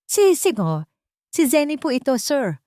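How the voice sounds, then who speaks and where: Woman acting a frightened, trembling female servant, pitched up. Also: very animated, measured pace, dark tone, no room sound, clean studio sound. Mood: nervous, breaking. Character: frightened, pleading woman servant, loyal and desperate.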